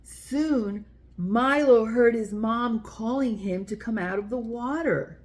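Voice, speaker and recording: A woman's voice: fairly high pitch, takes it slowly. A woman hosting a children's story time, reading aloud and speaking directly to young listeners in simple, explanatory language.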